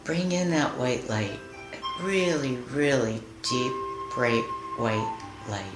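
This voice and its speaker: A woman leading a guided meditation in a soothing, deliberate manner, leaving long pauses between phrases. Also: very deep voice, animated. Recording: roomy echo with music behind. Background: music.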